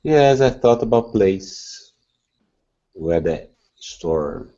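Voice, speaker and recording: A man with a deep, mellow voice answers as a student in an online class. He speaks slowly and hesitantly. The audio is dull, like a low-quality call. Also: lively intonation.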